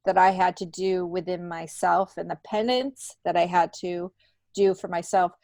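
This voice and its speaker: A woman's voice: on the low side, fairly even intonation, moderate pace, a little mellow, dry recording. Middle-aged woman, dry close sound. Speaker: podcast guest, stand-up comedian. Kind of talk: candid conversational interview.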